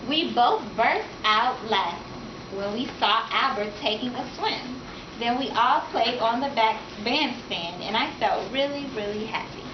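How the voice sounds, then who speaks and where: A woman voicing a cheerful, outspoken, warm-hearted young girl, chatty and childlike, in a storybook read-aloud. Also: a little high, lively intonation, unhurried. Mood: happy, tender. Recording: echoey room from far, noisy, dull.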